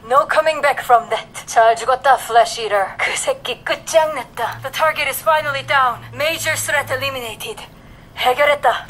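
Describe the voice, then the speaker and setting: Bright, forceful Korean soldier. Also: female voice, high voice, a little hiss or hum. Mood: firm, steady, then fierce, triumphant. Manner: clipped, terse military delivery. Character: tough, disciplined female Korean special forces soldier, cool and confident. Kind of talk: video game combat voice lines.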